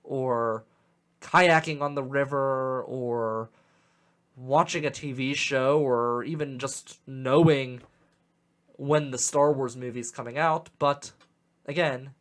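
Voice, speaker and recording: Animated Pittsburgh radio host, opinionated. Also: male voice, medium pitch, slow pace. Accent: Western Pennsylvania. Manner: animated, opinionated, repeats words when excited. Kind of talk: casual radio talk. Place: radio studio. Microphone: studio microphone.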